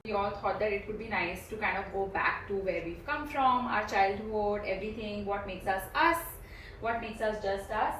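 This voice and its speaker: A woman's voice: medium pitch, moderate pace, a bit bright, slight background noise. Indian woman, chatty, echoey and distant. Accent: Indian English. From Delhi, India. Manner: chatty, leads the questions. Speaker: podcast co-host. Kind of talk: casual chat. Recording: echoey room, distant mic.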